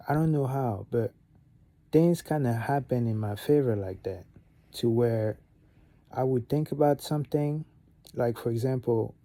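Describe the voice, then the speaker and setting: Dark gravelly man from Gabon. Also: medium pitch, no room sound. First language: French. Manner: rambling, many fillers and restarts, animated on key points. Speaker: vlogger. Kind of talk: casual motivational talk to camera.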